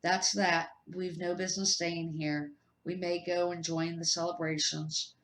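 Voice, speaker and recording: A woman voicing a wise, composed male wizard professor in a storybook reading, measured and matter-of-fact. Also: on the low side, level tone, a bit bright. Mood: resigned. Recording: roomy home echo.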